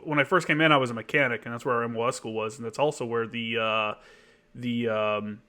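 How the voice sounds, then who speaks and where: A man's voice: mid-range voice, steady tone, a little fast, sharp tone. A man hosting a podcast who once served as a Marine mechanic chats casually and drops in short reactions. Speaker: podcast host, former Marine. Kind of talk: casual interview chat.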